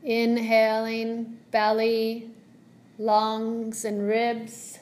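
A woman leading a guided meditation as a yoga teacher, speaking gently and soothingly in measured phrases with long pauses. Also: fairly high pitch, completely flat delivery.

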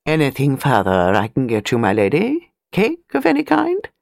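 A woman's voice: a bass voice, wildly swooping pitch, normal speed, vocal fry, darkish tone, no room sound, studio quality, heavily acted. A woman voicing a courtly, avuncular male butler of fifty, deferential and polished, in an audiobook. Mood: soothing, deferential.

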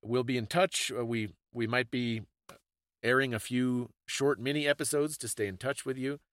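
A man's voice: medium pitch, steady tone, moderate pace, a bit bright, no echo. Male podcast co-host, reflective and conversational explainer, very dry recording.

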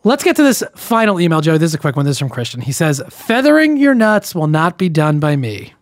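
A man's voice: fairly high pitch, very animated, brisk, no echo, studio quality. A young man co-hosting a podcast, reading listener emails aloud and breaking in with his own comments. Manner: reads emails aloud with running commentary, frequent restarts. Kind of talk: casual podcast chat.

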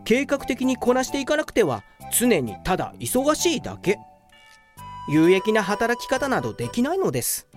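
A woman's voice: fairly high pitch, wildly swooping pitch, moderate pace, soft background music. A woman playing a lazy, smug young male office worker in a comedic radio drama, with an earnest, matter-of-fact manner. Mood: earnest narrating.

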